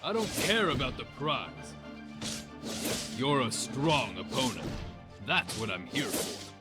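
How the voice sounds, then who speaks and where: A man playing an earnest, disciplined young fighter in a video game, speaking in a measured way. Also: medium pitch, sing-song. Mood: exerted, gracious. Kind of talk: video game character acting. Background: game music.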